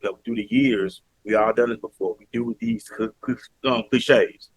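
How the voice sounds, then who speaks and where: Man, Southern Alabama accent, emphatic preachy podcast guest, low voice, dry sound. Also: steady tone, normal speed, slightly rough, no echo, poor audio. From Mobile, Alabama, US. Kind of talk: casual interview talk.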